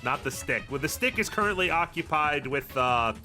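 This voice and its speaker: A man's voice: fairly high pitch, animated, moderate pace, pushed and bright. A man giving casual, sarcastic gameplay commentary with some grumbling and swearing, as a streamer would. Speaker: video game streamer. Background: game music.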